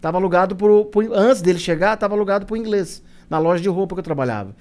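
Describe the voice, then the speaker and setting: A Brazilian man speaks very quickly in a fairly high voice. He is an interview guest telling anecdotes casually, slipping into colloquial retellings of conversations. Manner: colloquial, animated retelling with quoted dialogue.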